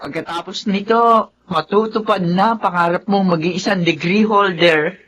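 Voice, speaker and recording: A woman playing a supportive middle-aged Filipino mother and wife with a husky voice in a radio drama. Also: on the low side, normal speed, a bit bright. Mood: tender, nostalgic. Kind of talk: Tagalog radio drama acting.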